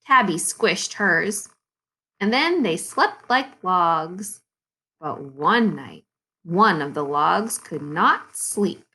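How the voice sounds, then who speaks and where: A woman teacher reading a storybook aloud to second graders in an expressive, deliberate way, with dramatic pauses. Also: fairly low pitch, rough recording. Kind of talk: storybook read-aloud for young children.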